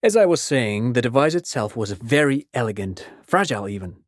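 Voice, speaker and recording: Male actor, erudite rambling dad, game character, polite, eager, pedantic, medium pitch, dry studio-tight sound. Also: sing-song, fairly quick, no room sound, natural voice. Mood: eager lecturing. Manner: erudite, rambling, over-explaining, genial. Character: chatty, pedantic intellectual father, eager and polite. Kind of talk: video game character dialogue.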